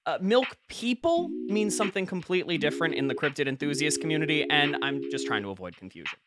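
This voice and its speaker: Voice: mid-range voice, very animated, quick pace. A performer playing an earnest, wry conspiracy podcast host, delivering a conversational, mock-serious, emphatic monologue. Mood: wry, confiding.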